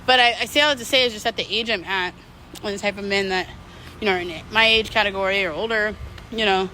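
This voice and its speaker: A woman's voice: mid-range voice, fast talker, bright, forceful tone, slight background noise, low-quality recording. A middle-aged woman talking casually and unscripted to her viewers, candid and slangy, rambling and drifting mid-thought. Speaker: vlog host.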